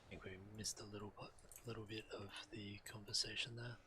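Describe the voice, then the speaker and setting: A man's voice: on the low side, flat delivery, quick pace, noisy background. A man giving casual gameplay commentary as a game streamer. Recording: game music behind the voice.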